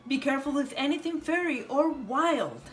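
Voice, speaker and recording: A woman's voice: high-pitched, expressive, normal speed, faint music behind, pitched above the performer's own voice. A woman voicing a caring, bossy storybook mother who gives instructions in a dramatic way. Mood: cautioning.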